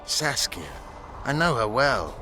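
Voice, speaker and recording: A man's voice: mid-range voice, very animated, slow pace, over music, rough recording. A man acting a hardened, suspicious rebel commander in a video game, speaking bluntly and with clipped authority. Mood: suspicious, curt.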